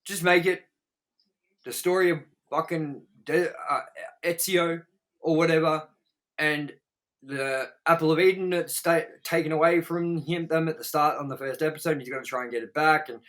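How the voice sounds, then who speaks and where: A man's voice: on the high side, fairly even intonation, normal speed, fairly bright tone. A man hosting a casual film review podcast, talking in a blunt, rambling, sweary way. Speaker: podcast host reviewing films.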